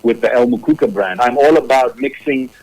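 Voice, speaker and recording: Measured man talking from his studio. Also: mid-range voice, darkish tone, dry recording, low-quality recording. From Zambia. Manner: measured, repeats words while thinking. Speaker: musician guest. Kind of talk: remote interview, casual. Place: his own music studio.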